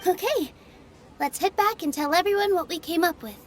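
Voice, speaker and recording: Game role: gentle, sincere young stage performer, high register, encouraging, over music. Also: female voice, normal speed. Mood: cheerful resolve. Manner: sincere, polite, softly encouraging. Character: earnest, gentle young female stage performer rallying her troupe. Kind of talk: video game voice acting. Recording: music behind the voice.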